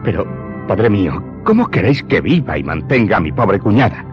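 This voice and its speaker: A man's voice: fairly low pitch, big pitch swings. An actor playing a haunted, humble Corsican smuggler turned servant who confesses his story in a radio drama. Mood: humble, earnest. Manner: deferential, haunted, deliberate storytelling. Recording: muffled audio under prominent music.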